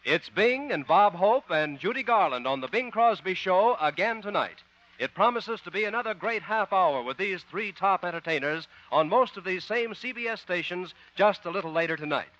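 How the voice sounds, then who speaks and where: A man announcing and narrating a 1950s radio comedy in a smooth, polished style. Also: high-pitched, expressive, moderate pace, fairly bright tone, no room sound. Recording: muffled 1950 radio broadcast with music.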